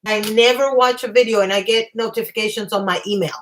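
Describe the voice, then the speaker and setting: This is a woman with a somewhat high voice who hosts a casual livestream. She rambles through her thoughts. Her voice is going hoarse, and she keeps clearing her throat. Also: steady tone, quick pace, slight background noise. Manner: chatty, reads viewer comments aloud. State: losing her voice. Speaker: livestream host.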